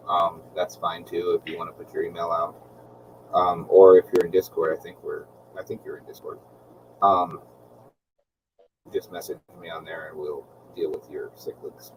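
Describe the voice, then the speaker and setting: Calm deep-voiced man, laid-back stream host. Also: moderate pace, distant mic, slight background noise, poor audio. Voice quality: calm, relaxing voice. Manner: laid-back, rambling with many ums. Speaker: aquarium channel host. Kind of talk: casual livestream chat.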